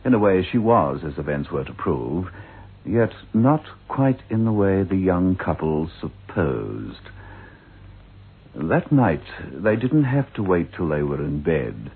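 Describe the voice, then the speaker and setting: An actor playing a grave, wry storyteller, narrating a radio ghost drama in a deliberate, theatrical way. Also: male voice, low-pitched, sing-song, husky, heavily acted. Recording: tinny radio recording with music underneath.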